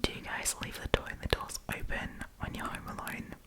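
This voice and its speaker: A woman doing an ASMR storytime, chatting casually and informally with rambling asides. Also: normal speed.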